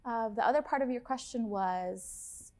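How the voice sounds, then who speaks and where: A woman's voice: on the high side, a little slow, warm tone. A woman from Lebanon in her thirties, a study abroad advisor chatting in an interview in an upbeat, enthusiastic way. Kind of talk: casual interview talk.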